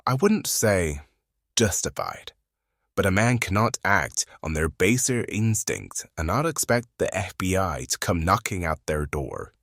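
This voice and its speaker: Woman performing a troubled male profiler, very low altered voice, wide intonation, tired, cynical, guarded, audio drama. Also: big pitch swings, no room sound, clean studio sound, full character voice. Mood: defensive. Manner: tired, terse, deliberate. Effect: voice pitched down to sound masculine. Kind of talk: fan audio drama acting.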